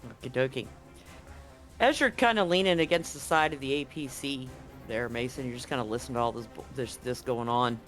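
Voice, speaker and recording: A man's voice: mid-range voice, brisk, a bit bright, faint music behind. A man acting as game master, narrating and chatting in an easygoing, informal way during a live tabletop game.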